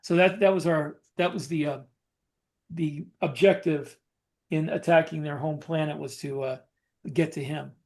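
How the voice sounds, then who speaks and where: Man, slightly high voice, podcast co-host, casual explanation, halting, reworked phrases. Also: fairly even intonation, darkish tone. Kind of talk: casual discussion of writing military science fiction.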